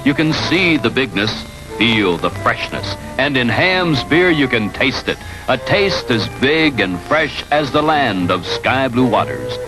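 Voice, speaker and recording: A man reading a scripted beer commercial in an old-fashioned announcer style, measured and savoring the words. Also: mid-range voice, big pitch swings, rough recording. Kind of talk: vintage scripted ad read. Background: loud jingle music.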